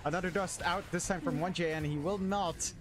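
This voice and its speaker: A man's voice: high-pitched, steady tone, fairly quick. A man working as an esports caster, mixing live game commentary with casual chat. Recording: clearly noisy stream audio.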